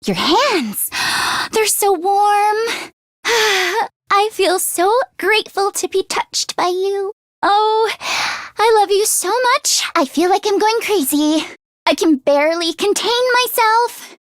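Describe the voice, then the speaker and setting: A woman voice acting an earnest, anxious teenage schoolgirl in an English video game, with expressive, emotionally heightened delivery. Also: very high voice, takes it slowly, no echo, studio quality, full character voice. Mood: lovestruck.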